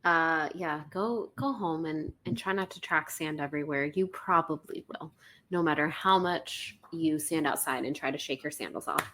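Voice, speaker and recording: Chatty, bright-voiced woman podcaster. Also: deep voice. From Florida. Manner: quick, chatty, with many likes and ums. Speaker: podcast co-host. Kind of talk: casual chat.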